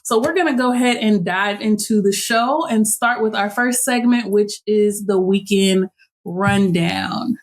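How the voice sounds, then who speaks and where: A woman in her late thirties hosts a podcast and chats in a relaxed, friendly way. Her voice sits at a middle pitch. She laughs easily as she talks. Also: a little slow. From Chicago. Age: 30s. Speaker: podcast host. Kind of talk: casual chat.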